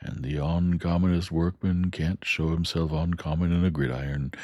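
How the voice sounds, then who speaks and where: A man voicing a plain-spoken, kindly working-class blacksmith with speech, talking slowly and emphatically. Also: sits low, steady tone, a little mellow, no echo, clean studio sound. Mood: earnest. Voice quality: dialect grammar, slow and emphatic. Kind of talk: audiobook character voice.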